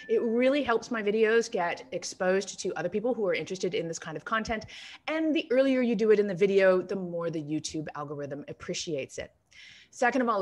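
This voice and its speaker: A woman hosting a casual interview over a video call, chatty and quick to laugh at herself. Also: mid-range voice. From Canada. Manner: chatty, laughs easily, self-deprecating. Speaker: host. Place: home in Canada.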